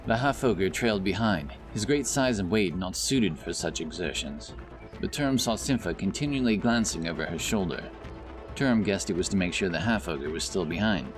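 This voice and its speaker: A man's voice: sits low, expressive, moderate pace, low-quality recording. A man reading scripted fantasy narration as an audiobook narrator, with background music behind him. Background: background music and effects.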